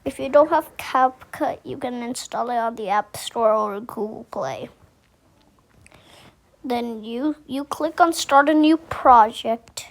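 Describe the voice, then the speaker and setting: A woman giving a casual how-to tutorial, speaking haltingly and repeating herself as she thinks aloud. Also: a little high. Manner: halting, repetitive, thinking aloud while demonstrating. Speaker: tutorial host.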